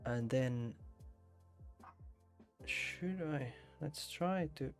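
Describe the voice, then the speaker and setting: A man with a Finnish accent hosts a relaxed photo-editing stream. His voice is mid-pitched and creaky, and he thinks aloud, often hesitating and repeating words. Faint music plays behind him. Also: extremely slow. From Finland. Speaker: photography livestream host, street photographer. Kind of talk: casual chat while editing photos.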